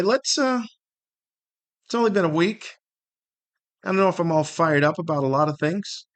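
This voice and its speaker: A man's voice: lowish voice, fairly bright tone. A middle-aged or older man hosting a solo podcast, rambling casually with wry humor and plenty of rhetorical questions. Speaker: podcast host.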